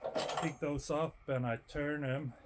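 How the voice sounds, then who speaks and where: A man whose first language is Swedish, explaining his sawmill work outdoors in a halting, casual way with many restarts. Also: on the high side, steady tone, heavy background noise. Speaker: sawmill vlogger. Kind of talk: casual how-to narration while working.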